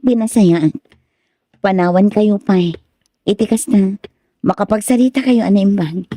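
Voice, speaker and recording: A woman's voice: medium pitch, measured pace, mellow, no echo, natural voice. A woman acting in a radio drama as an earnest, pleading mother of a grown son. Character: earnest, pleading adoptive mother confessing a family secret.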